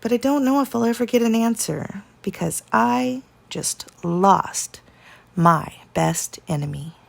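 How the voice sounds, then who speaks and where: A woman reading a children's story aloud in an animated way, drawing out key words for dramatic emphasis. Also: fairly high pitch, unhurried, gravelly. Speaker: teacher reading a picture book aloud. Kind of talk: expressive children's storybook narration.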